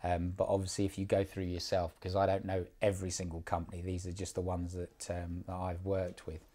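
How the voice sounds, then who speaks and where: A man with a very low, dark voice talks through placement advice in an easygoing, unhurried way. He repeats words and fills pauses with ums, and his pitch barely moves. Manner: relaxed, repeats words, many fillers. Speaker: job placement mentor. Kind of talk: casual one-on-one advice.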